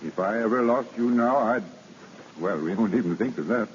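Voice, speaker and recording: Actor as devoted gentleman, reverberant old radio. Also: fairly low pitch, normal speed, close to an everyday voice. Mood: tender. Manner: earnest. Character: devoted, tender gentleman in love. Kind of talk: 1930s-40s radio drama acting. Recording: muffled, reverberant, hissy old radio broadcast.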